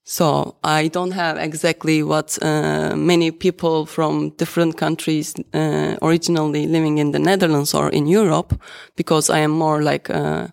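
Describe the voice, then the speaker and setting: An architect and artist in their thirties from Turkey, speaking with a Turkish accent in a deliberate, halting way on a panel. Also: medium pitch, flat delivery, vocal fry, smooth. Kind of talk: panel discussion.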